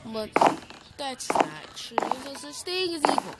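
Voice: high voice, very animated, unhurried. A child improvising a Minecraft roleplay, on a noisy home recording with clicks. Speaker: gamer playing all parts in a roleplay video.

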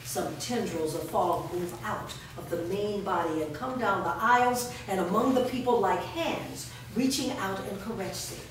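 A woman's voice: mid-range voice, normal speed, few pauses. Echoey, distant woman narrating stage directions. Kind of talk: scripted narration at a staged reading. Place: large bare indoor room, staged reading before a small audience. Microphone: distant camera. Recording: echoey room from far off, noisy.

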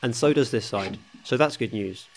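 A man talks through his car restoration in a scripted voice-over. His voice sits at a middling pitch, and his delivery stays steady and matter-of-fact throughout. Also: moderate pace, vocal fry. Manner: steady, matter-of-fact explaining of workshop steps. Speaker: host of a car restoration channel.

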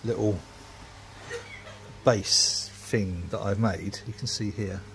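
A man's voice: fairly low pitch, steady tone, slow pace, a little hiss or hum, poor audio. A man, rambling casually and self-mockingly while filming his home brewery workshop on a handheld phone.

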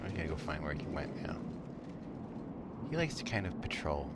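A man's voice: very deep voice, big pitch swings, normal speed. A man giving casual, slangy, profane commentary as he hosts a video game playthrough. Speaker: let's play host. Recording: loud game noise behind the voice.

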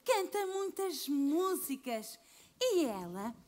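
A woman's voice: high-pitched, very animated, slow, darkish tone. A woman children's entertainer on an outdoor stage, talking in an exaggerated, sing-song way. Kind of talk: playful stage show for kids.

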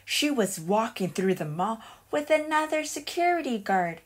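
A woman reading a children's picture book aloud as a storyteller, in an expressive and deliberate way. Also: a little high.

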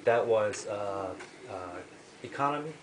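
A middle-aged man from Japan with a Japanese accent, giving a personal testimony to a small group at a home meeting, pausing often and clearing his throat. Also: on the low side, crowd noise. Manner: halting, with frequent throat-clearing and filler pauses. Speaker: member sharing a testimony. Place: living room at a home gathering. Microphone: camera across the room. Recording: echoey room with background noise.

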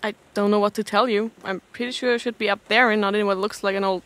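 A woman's voice: medium pitch, steady tone, fast, a bit bright, lightly acted. A woman acting a weary, stranded astronaut in an audio drama, with a dry, sarcastic manner. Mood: wry, baffled.

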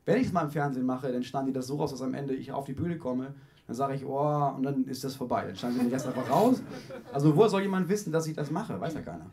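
A man's voice: medium pitch, darkish tone. A young man, a guest comedian, chatting on a small living-room stage before an audience with a handheld mic, wry and self-mocking. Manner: quick, wry and self-mocking, acts out quoted voices. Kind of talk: casual onstage chat.

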